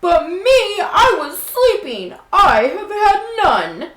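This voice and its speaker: A woman voicing a great brown storybook bear, loud, grumbling and sorry for itself. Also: very high-pitched, huge pitch swings, slow pace, a little hiss or hum, voice pushed up, full character voice. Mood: indignant. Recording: roomy, echoing indoor sound.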